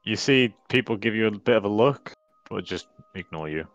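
A man narrating a tabletop horror game as game master, in a relaxed and wry way with many fillers. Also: lowish voice, normal speed, no room sound, dull audio.

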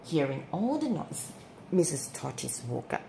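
A woman reading a children's story aloud in an expressive, deliberate way. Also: low-pitched. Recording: roomy with clear background noise.

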